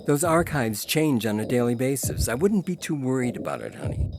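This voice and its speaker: A man playing a strained, worn-down engineer husband with a gravelly, husky voice in a dramatized story reading. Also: medium pitch, lively intonation, fairly quick, noisy background, lightly acted. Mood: strained calm.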